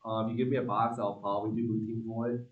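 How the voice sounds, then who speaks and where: A young man from Long Island giving a relaxed, joking student team presentation with a lot of ums. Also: fairly low pitch, quick pace, echoey room, recorded from a distance, narrow, tinny audio. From Long Island, New York. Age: young adult.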